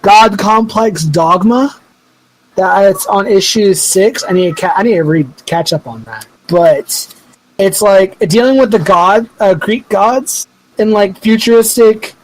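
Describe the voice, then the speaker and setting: A high-pitched young man in his twenties co-hosts a podcast. He rambles through loose casual chat and drops curse words freely. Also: a little slow, a little hiss or hum, poor audio. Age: 20s. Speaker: podcast co-host.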